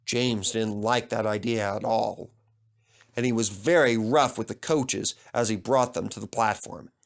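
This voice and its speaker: A man's voice: fairly low pitch, measured pace, gravelly. A man narrating a scripted children's story expressively as a storybook reader.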